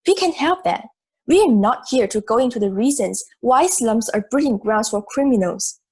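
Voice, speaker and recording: A woman's voice: on the high side, animated, moderate pace, darkish tone, slightly rough. A woman playing a logical, assertive, matter-of-fact juror in an amateur audio drama. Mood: firm.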